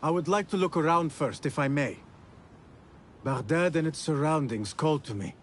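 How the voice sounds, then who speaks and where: An actor playing an earnest young assassin apprentice in a video game, speaking politely with a questioning, curious manner. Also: male voice, fairly high pitch, moderate pace, a little hiss or hum, natural voice. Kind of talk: cinematic video game acting.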